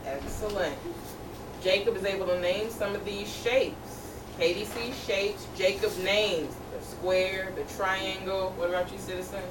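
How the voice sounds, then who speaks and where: A woman's voice: medium pitch, fairly even intonation, a little slow. Echoey, distant woman teacher reading aloud. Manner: encouraging, repeats pupils' answers back. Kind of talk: interactive storybook read-aloud with questions. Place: room with a group of children. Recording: distant, echoey, noisy room recording.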